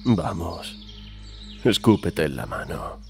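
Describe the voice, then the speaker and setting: Male actor as a predatory cartoon boss, Spain accent, deep, unhurried, smarmy, insinuating. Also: expressive, over music. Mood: creepy coaxing. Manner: slow, insinuating, unctuous. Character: creepy, predatory gumball-machine boss, smarmy adult male. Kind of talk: adult parody cartoon fandub.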